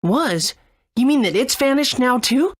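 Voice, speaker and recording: A woman's voice: high voice, lively intonation, measured pace, no room sound, clean studio sound. An actress voicing an earnest, kind and curious young boy hero in a video game. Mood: questioning.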